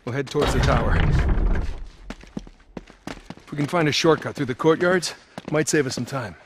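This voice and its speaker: A man's voice: medium pitch, moderate pace, noisy background, lightly acted. A man acting a wry, determined American treasure hunter in his thirties in a video game, casual but urgent under pressure. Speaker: video game lead character. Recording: game soundtrack music behind voice.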